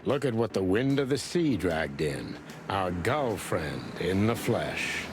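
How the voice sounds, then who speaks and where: A tough rooster detective in a noir game. The voice sits low, weary and sardonic, with dry hard-boiled delivery. Music plays behind it. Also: male voice, big pitch swings, takes it slowly, exaggerated voice. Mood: wry. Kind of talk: noir video game acting.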